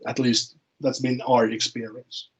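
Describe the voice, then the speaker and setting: A man's voice: mid-range voice, level tone, unhurried, husky, rough recording. A man, speaking informally in a webinar discussion and often repeating words as he thinks. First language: Finnish. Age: late 20s.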